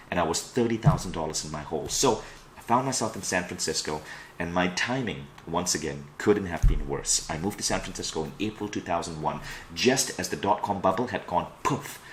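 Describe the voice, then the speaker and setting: A man's voice: sits low, slight background noise. A middle-aged man from Malaysia presenting an online self-improvement training as a coach, with an emphatic, promotional delivery. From Kuala Lumpur, Malaysia. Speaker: personal growth coach and trainer.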